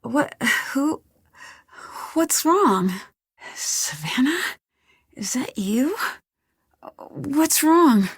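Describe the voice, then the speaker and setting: A woman's voice: sits high, very animated, drawn-out words, long pauses, no echo, full character voice. Young lady detective from a mystery game, curious n polite, asks stuff earnestly, dry studio sound. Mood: alarmed. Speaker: video game voice actor. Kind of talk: scripted game dialogue, clear and natural.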